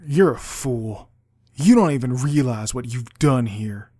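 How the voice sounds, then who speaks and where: A man's voice: medium pitch, expressive, unhurried, dark tone, no echo, studio quality. A man playing an angry, bitter young man who trusts nobody, harsh and accusing, in an amateur audio drama. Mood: bitter anger.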